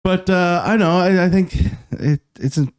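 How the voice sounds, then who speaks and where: A man from Canada, co-hosting a podcast in a halting casual chat, often laughing. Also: high voice, clear, resonant tone, dry recording. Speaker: podcast co-host.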